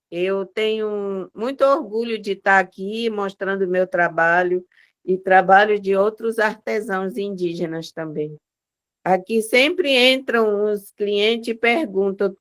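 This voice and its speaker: A woman whose first language is Wanano talks slowly and with quiet pride about the crafts she sells, restarting her phrases as she goes. She is an artisan from the Amazon, heard over a video call. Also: mid-range voice. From Amazonas, Brazil. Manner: slow, proud, plain speech with short repeated starts.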